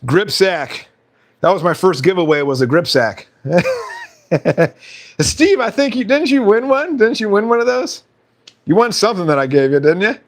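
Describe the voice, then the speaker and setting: A man with a fairly high voice hosts a relaxed live stream. He talks casually and reads out viewer comments, laughing often. His voice sounds slightly hoarse. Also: a little slow.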